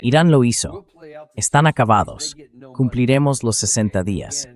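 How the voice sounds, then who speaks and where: A man's voice: medium pitch, animated, moderate pace. A man hosting a political commentary show in a dubbed translation read by a synthetic voice, often posing incredulous rhetorical questions.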